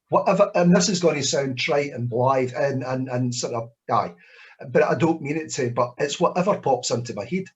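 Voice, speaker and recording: A Scottish man, a poet, reading and then answering questions in a relaxed, self-deprecating way, with frequent hesitations and restarts over a video call. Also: medium pitch. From Scotland. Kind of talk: spoken word reading and Q&A.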